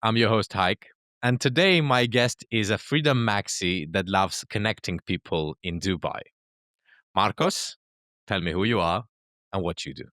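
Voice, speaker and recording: A man hosting a podcast, asking brief, measured interview questions. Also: fairly low pitch, a bit bright, no room sound, clean studio sound. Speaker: podcast host.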